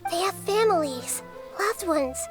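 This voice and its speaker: An actress voicing a wise, young-sounding female god who speaks in a gentle, measured, reasoning way, in game audio with music behind. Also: very high voice, lively intonation. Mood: earnest.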